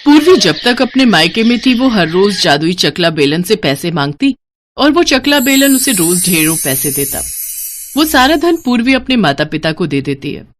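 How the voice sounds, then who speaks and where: Medium-pitched woman narrating a story. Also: very animated, moderate pace, a little hiss or hum. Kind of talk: scripted children's story narration.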